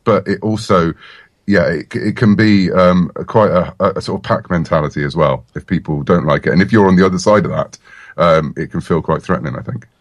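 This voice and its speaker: A man's voice: sits low, fairly quick, no room sound. A middle-aged British man speaking as a writer guest in a casual, chatty podcast interview.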